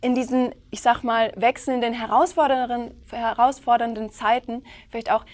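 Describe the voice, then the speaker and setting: A woman's voice: on the high side, fairly even intonation, normal speed, a little mellow. A woman hosting an interview, friendly and attentive, asking questions with many listening sounds. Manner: friendly, asks questions, many "mhm" fillers. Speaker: host. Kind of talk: interview host.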